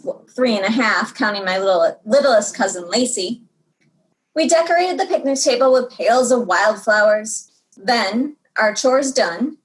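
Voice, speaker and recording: A woman reading a storybook aloud to young children in an expressive way, drawing out words for dramatic emphasis. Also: on the high side, low-quality recording.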